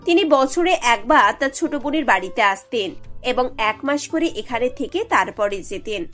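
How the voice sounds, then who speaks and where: A woman reading scripted narration for an animated family story. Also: a little high, normal speed, soft background music.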